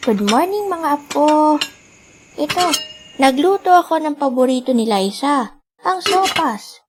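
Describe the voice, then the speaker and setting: A woman's voice: sits high, animated, a little slow, noisy background. A woman voicing a kind, loving old grandmother in a radio drama, sighing and worried. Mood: affectionate. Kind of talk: dramatic radio play acting. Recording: music behind the voice.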